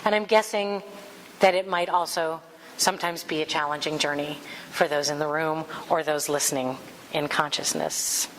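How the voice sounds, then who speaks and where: A woman giving a heartfelt spiritual talk to a congregation as its minister, speaking with earnest warmth and reading passages aloud. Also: deep voice, fairly even intonation, moderate pace, long reverb tail, a little hiss or hum, rough recording.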